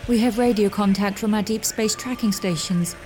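A woman's voice: medium pitch, normal speed, mellow, soft background music, close to an everyday voice. A woman playing a formal, businesslike military radio operator in an audio drama. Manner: formal, reporting.

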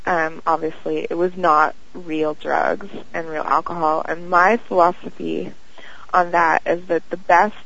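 A young woman, a guest on an interview, who talks in a dreamy, halting way full of fillers and pauses. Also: lowish voice, vocal fry. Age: young adult. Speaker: guest, singer and actress. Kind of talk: casual interview chat. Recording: dull lo-fi audio.

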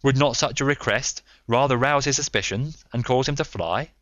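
Reader putting on a doubting police inspector, questioning, raised pitch. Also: male voice, normal speed, a bit bright, no room sound, natural voice. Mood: incredulous. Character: incredulous police inspector man.

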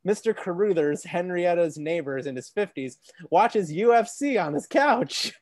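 Deep voiced guy reading out a script, dry close sound. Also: animated, unhurried, a little mellow, dry recording. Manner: deep-voiced, reads stage directions. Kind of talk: script read-through with commentary.

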